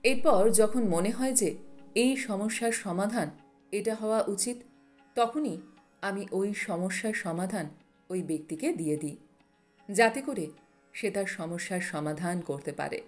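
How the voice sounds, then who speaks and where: A woman voicing a wise, calm male monk who counsels earnestly in a storybook narration. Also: mid-range voice, measured pace, long pauses, faint music behind, close to an everyday voice. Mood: earnest, instructive.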